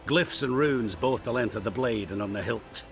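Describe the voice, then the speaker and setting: A man's voice: medium pitch, moderate pace, close to an everyday voice. A man playing a proud, seasoned middle-aged swordsmith in a video game, speaking in a precise, businesslike way. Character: proud seasoned master swordsmith, plain-spoken tradesman. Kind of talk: video game cutscene acting.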